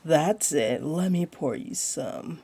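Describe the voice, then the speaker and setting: A woman voicing a flirty, comforting female jaguar beastling in a roleplay audio, with a deep, mellow voice and a teasing, soothing manner. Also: animated, takes it slowly, a little hiss or hum, exaggerated voice. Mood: sympathetic, comforting.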